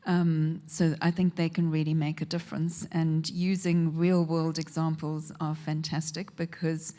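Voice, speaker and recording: South african lady chairing a session, low flat voice, steady. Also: measured pace. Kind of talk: introducing speakers.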